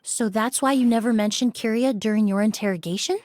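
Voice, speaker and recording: Video game investigator female actor: analytical, composed, courteous, on the higher side. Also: darkish tone, slight background noise, lightly acted. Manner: measured, polite, analytical.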